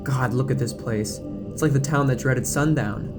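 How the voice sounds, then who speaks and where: A troubled teenage girl played by a man speaks in a subdued, weary and wry way. The horror drama line sits over clearly audible music. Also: medium pitch, moderate pace, natural voice.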